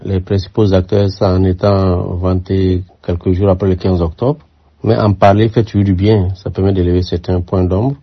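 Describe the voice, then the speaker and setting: Low husky older man, measured. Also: flat delivery. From Burkina Faso. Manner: measured, reflective, with frequent hesitations. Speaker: former military aide, interview guest. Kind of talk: radio interview. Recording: muffled lo-fi sound.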